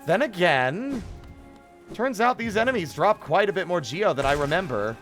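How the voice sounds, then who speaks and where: Swearing male streamer with very lively pitch. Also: moderate pace, soft background music. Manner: rambling, self-questioning, swearing. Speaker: game streamer. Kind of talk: casual live stream commentary.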